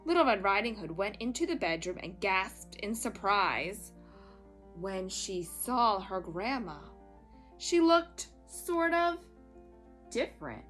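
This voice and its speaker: Bright-voiced woman narrating a children's story. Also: mid-range voice, big pitch swings, takes it slowly, faint music behind. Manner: dramatic, emphatic.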